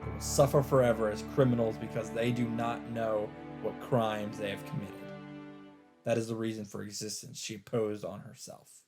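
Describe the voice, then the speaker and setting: A man's voice: lowish voice, level tone, moderate pace. A man hosting a let's play, reading a visual novel's narration aloud in a dramatic storytelling style. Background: game music.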